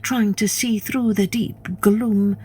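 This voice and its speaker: A woman telling a children's story in an expressive, dramatic way, addressing the listener directly. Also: mid-range voice, slow, breathy edge. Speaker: storyteller, podcast host.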